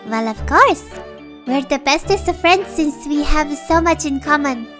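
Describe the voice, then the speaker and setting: A woman playing a gentle, modest young female healer, polite and slightly formal, in a fan audio drama. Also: high voice, lively intonation, a little slow, over music. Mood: friendly.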